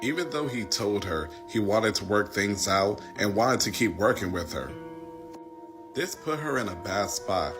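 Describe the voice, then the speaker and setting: A man's voice: on the low side, expressive, measured pace, music in the background. A man giving informal storytelling commentary in a conversational, plain-spoken way.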